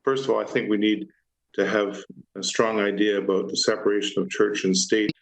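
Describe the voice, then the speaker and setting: A man giving remarks as a city councillor in a meeting, with measured, hesitant phrasing. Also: lowish voice, level tone, gravelly, low-quality recording.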